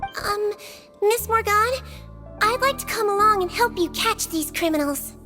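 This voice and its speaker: A tiny sweet-voiced nurse. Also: female voice, animated, unhurried. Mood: earnest. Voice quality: very high, sweet and soft. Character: gentle small nonhuman head nurse, caring. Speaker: head nurse. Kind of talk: video game voice acting. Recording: game audio with music underneath.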